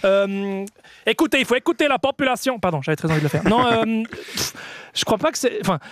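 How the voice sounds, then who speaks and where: A man in his thirties with a Swiss French accent, a comedian answering questions in a radio studio with relaxed, self-mocking humour. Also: high voice, a little fast, no room sound. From French-speaking Switzerland. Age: 30s. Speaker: comedian guest. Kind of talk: radio interview. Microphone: studio microphone.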